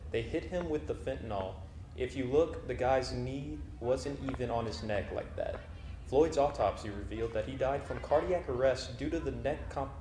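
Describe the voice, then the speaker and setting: A male news host reads his script in a steady, formal way. His voice carries a roomy echo with a little ambient noise underneath. Mid-pitched and measured. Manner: even, formal newsreader delivery. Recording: echoey room with faint ambient noise.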